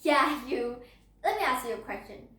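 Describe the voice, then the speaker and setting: High-voiced teen girl. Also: normal speed, distant mic. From Indonesia. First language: Hokkien. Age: teenager. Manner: lively, often asks the listener questions. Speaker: student speaker in a speech contest. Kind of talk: prepared persuasive speech to camera. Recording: roomy echo.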